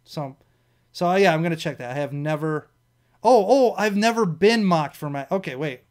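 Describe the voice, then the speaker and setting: A man's voice: on the high side, animated, normal speed, a little mellow. A young man with a Midwestern American accent who talks casually and rambles. He keeps correcting himself, swears, and laughs at his own mistakes. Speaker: vlog show host. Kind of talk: casual solo commentary, reading quiz items aloud.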